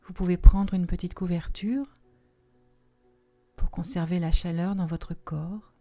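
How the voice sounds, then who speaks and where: A woman leading a guided relaxation meditation in a soft, soothing, unhurried manner with long pauses. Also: on the low side, fairly even intonation. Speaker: yoga nidra relaxation guide. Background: soft music.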